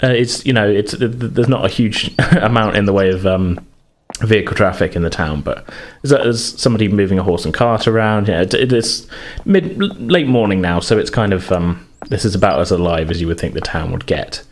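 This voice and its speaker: Low-voiced man running a tabletop game. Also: a little hiss or hum. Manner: halting, searching delivery. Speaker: game master. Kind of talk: narrating a tabletop role-playing game.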